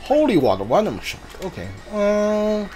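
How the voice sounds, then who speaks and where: A gamer talks through a playthrough in a mid-pitched, very animated voice. The delivery is casual and halting, as if working things out aloud, with faint game music behind. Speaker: video game player. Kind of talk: casual let's play commentary. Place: indoors, voice-over on gameplay. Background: video game music.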